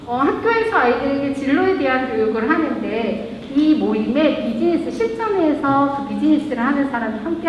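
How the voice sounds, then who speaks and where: A woman's voice: a little high, a little hiss or hum. A woman, a local brand founder, giving a chatty stage talk and joking with the audience. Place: event hall. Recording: echoey hall, lo-fi live recording.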